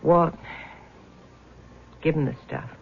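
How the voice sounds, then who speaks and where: An actress playing a bitter, demanding middle-aged widow in a 1970s radio drama, combative and scornful. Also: female voice, low voice, takes it slowly, creaky, slightly rough, narrow, tinny audio, full character voice. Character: bitter, demanding, sharp-tongued widow Millie. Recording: thin, band-limited vintage radio recording.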